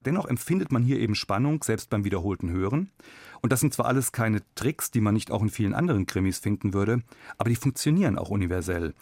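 Lowish-voiced man, analytical radio commentary. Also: expressive, fast talker, vocal fry, a little mellow, dry recording, clean studio sound.

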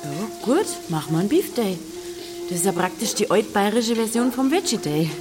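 A woman's voice: medium pitch, sing-song, normal speed, over music, lightly acted. A woman playing a stubborn, cheeky village innkeeper in an audio drama, speaking direct, bantering Bavarian dialect. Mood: lively, bantering.

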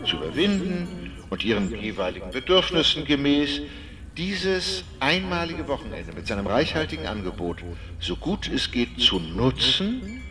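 An actor playing an encouraging seminar leader in an audio drama, giving a wordy, formal address. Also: male voice, mid-range voice, very animated, normal speed, natural voice. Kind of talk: scripted welcome speech in audio drama.

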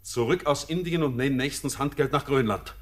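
A young actor playing an orphaned sailor, torn between pleading and dread, in a classic radio play. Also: male voice, medium pitch, normal speed, close to an everyday voice. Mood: anxious.

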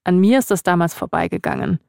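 Low-pitched woman narrator with creaky edge. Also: fast talker, darkish tone, no echo, studio quality. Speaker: podcast host and narrator. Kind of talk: scripted podcast narration.

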